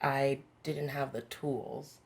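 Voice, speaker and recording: A woman's voice: a bass voice, steady tone, takes it slowly, vocal fry, husky. A woman in her thirties, a musician guest on a podcast, talking candidly and casually, laughing easily. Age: 30s. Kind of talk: casual interview chat.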